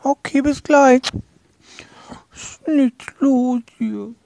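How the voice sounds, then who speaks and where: Man doing a meek, very high-pitched sidekick. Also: very slow, vocal fry, heavily acted, poor audio. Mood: bored, friendly. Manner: timid, quiet, hesitant objecting. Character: meek, naive, high-voiced male sidekick. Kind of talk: crude improvised comedy audio skit.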